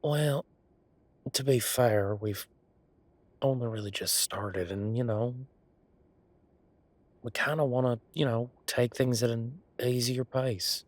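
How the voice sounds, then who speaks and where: A man's voice: mid-range voice, slow pace, long pauses, no room sound, lightly acted. A man playing an easygoing, sincere werewolf boyfriend in a roleplay audio drama, talking casually and a little bashfully. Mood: bashful, explaining.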